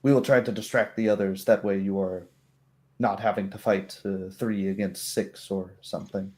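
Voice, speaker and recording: A man voicing a female kobold go-between who lays out plans in a measured, matter-of-fact way. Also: lowish voice, fairly even intonation, darkish tone, close to an everyday voice. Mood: measured, practical. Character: female kobold go-between, plain-spoken plotter.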